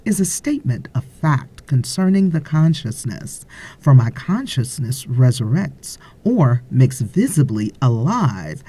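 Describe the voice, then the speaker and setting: A woman reads a spiritual text as an audiobook narrator. Her voice is mellow and a little breathy and husky. She phrases deliberately, with pauses, and moves her pitch expressively across each sentence. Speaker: audiobook reader.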